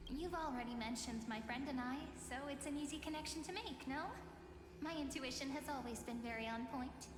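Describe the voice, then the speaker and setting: A woman's voice: sits high, a little fast, background noise, lightly acted. An actress playing a confident, hopeful young woman in a video game, light and teasing in manner. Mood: playful confidence. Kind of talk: video game character acting. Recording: echoey, with music behind. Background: game music.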